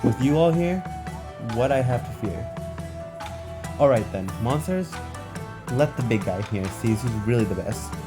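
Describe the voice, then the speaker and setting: A man voicing a brash but soft-hearted big-guy fighter, boastful and swaggering, in a hobby read-aloud of a game story. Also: medium pitch, lively intonation, measured pace, pauses often, over music, close to an everyday voice. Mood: bold, eager.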